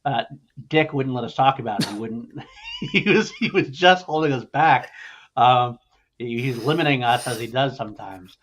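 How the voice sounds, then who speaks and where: A man's voice: medium pitch, huge pitch swings, normal speed, fairly bright tone. A man hosting a casual pop culture chat show, jokey and rambling, on slightly dull audio. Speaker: podcast host.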